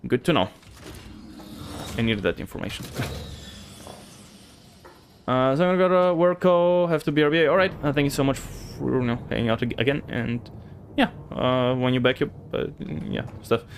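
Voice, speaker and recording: A man's voice: medium pitch, normal speed, pauses often, slight background noise. A man from Bulgaria with a light Bulgarian accent, a streamer chatting loosely with viewers and thinking aloud as he plays. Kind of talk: casual gameplay chat with viewers.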